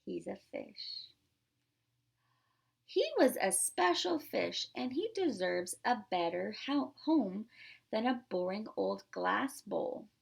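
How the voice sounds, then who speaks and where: Expressive woman reading aloud, slow and lively. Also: medium pitch, vocal fry, slightly rough. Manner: expressive storytelling, emphasizes words, asks children questions. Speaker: pre-K teacher. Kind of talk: read-aloud to young children with explanations and questions.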